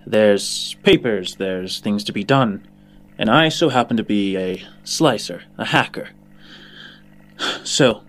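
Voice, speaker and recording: A man's voice: lowish voice, takes it slowly, fairly bright tone. Man acting a condescending, theatrical hacker accomplice. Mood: brisk, sly. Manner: theatrical, condescending, addresses listener as dear. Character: fussy, exacting male hacker and disguise accomplice.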